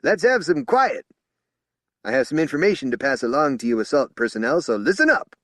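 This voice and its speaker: A man plays a flashy, balding police spokesman who shouts orders at assembled officers. He is loud and pushy, his voice pitched well above normal speech. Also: very animated, fairly quick, no room sound. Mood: commanding. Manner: shouting, commanding.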